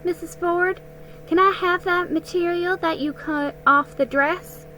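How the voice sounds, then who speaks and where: A woman's voice: very high voice, measured pace, soft background music, voice pushed up, heavily acted, low-quality recording. A woman voicing an eager, polite young girl in a children's story. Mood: polite, grateful. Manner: bright, polite, excited.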